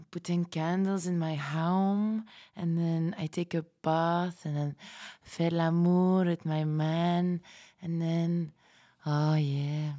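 A woman plays a dreamy, homesick woman on a future Paris radio show. Her voice is soft-edged and on the low side, and she rambles along unhurried and wistful, with a feisty streak. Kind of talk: fiction podcast panel interview acting.

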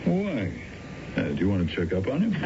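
An actor playing an earnest, moralizing middle-aged family friend in a radio drama, speaking with hesitant, stammering politeness. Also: male voice, low voice, wildly swooping pitch, a little fast, heavily acted. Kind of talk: old-time radio drama acting. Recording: muffled, noisy 1970s radio recording.

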